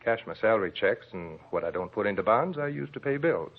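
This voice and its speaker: Low, husky American husband. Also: male voice, lively intonation, a little fast. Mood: offhand. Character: husband, an office worker, offhand and placating. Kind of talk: old-time radio drama acting. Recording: thin, phone-like old radio recording.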